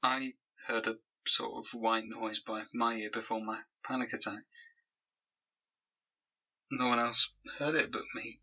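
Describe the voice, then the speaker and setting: An uneasy survivor in an audio drama, very sharp bright voice, husky. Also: medium pitch, fairly even intonation, unhurried, pauses often, muffled recording, full character voice. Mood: uneasy, hesitant. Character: uneasy survivor in a trapped group.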